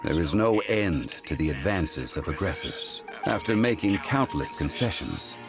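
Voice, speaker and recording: A deep-voiced ancient deity from a video game. He speaks formally and with grave composure, taking his time over each phrase. Music plays clearly behind him. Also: lively intonation. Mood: solemn, grave. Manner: formal, measured, deliberate storytelling. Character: ancient solemn god in human form, grave and composed. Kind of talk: scripted video game dialogue. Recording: game dialogue under loud music.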